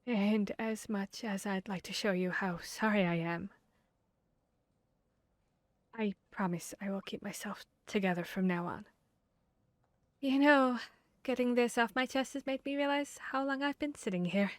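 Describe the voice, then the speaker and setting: A woman playing a guarded, bashful vampire hunter in an intimate ASMR roleplay, speaking earnestly and haltingly. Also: mid-range voice, no room sound, close to an everyday voice. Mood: relieved, tender. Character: guarded, bashful female vampire hunter confessing tender feelings. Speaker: audio roleplay voice actor.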